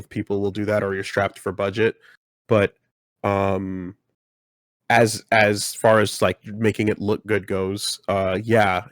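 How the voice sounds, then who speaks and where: Low-voiced man, rambling and halting. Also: no echo, studio quality. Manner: rambling, halting, many fillers. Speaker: podcast host. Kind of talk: casual chat.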